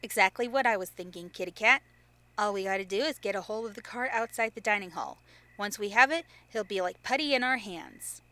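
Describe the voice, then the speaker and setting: A woman's voice: medium pitch, fairly quick, bright, forceful tone, natural voice. A woman playing a confident, teasing teenage girl hero in an audio drama. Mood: eager scheming. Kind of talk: fan audio drama acting.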